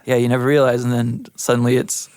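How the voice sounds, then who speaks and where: A man's voice: mid-range voice, flat delivery, fast talker, a little mellow, clean tone, no room sound. An American man, an audio engineer guest, chatting casually in an easygoing, slightly tentative way. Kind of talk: casual chat.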